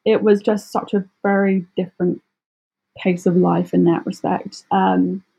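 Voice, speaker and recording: A British woman speaking casually as an interview guest, chatty and expressive, often acting out little quotes. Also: lowish voice, unhurried, gravelly, slightly rough, muffled recording. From United Kingdom. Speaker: podcast guest, travel blogger.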